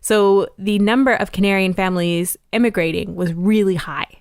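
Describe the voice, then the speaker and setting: A woman's voice: medium pitch, fairly even intonation, moderate pace, clean tone, no room sound, studio quality. A woman co-hosting a casual podcast, upbeat and explaining things at length with lots of "like". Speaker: podcast co-host.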